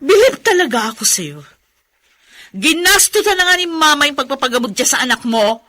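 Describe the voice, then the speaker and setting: Snooty resentful filipina big sister, radio drama, high bright voice, super scornful. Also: female voice, very animated, moderate pace, dry recording, heavily acted. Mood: indignant, bitter. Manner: sharp, scornful. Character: haughty, resentful, accusing elder sister.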